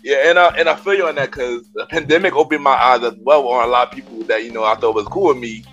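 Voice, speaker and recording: A man's voice: mid-range voice, fast, fairly bright tone, muffled recording, faint music behind. A man hosting a casual podcast interview, agreeable and often repeating his words as he goes. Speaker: podcast host.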